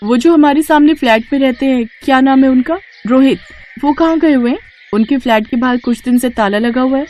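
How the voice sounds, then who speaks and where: A woman's voice: high-pitched, brisk, a little mellow, pitched above the performer's own voice, lightly acted. A woman voicing a guarded young daughter-in-law in a family story, with a defensive, questioning manner. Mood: anxious, inquiring. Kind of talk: Hindi family storybook dialogue.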